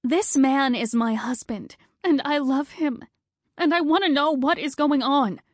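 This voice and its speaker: A woman voicing a frantic middle-aged wife who talks in high, breathless, urgent pleas. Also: expressive, slow pace, no room sound, clean studio sound. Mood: pleading.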